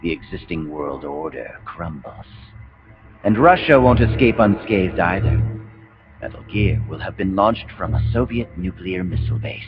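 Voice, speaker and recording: An actor with an American accent playing a calm, messianic military commander villain in a video game, speaking in solemn, grandiose, deliberate speeches. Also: male voice, low-pitched. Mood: calculating. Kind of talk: dramatic video game cutscene monologue. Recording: muffled game audio under loud music.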